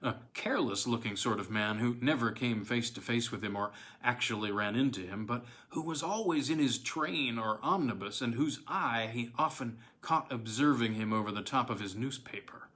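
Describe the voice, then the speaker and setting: Male narrator, mid-pitched, measured and deliberate, reads an old weird tale with dramatic pauses. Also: a bit bright. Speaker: audiobook narrator. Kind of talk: scripted narration of a classic horror tale.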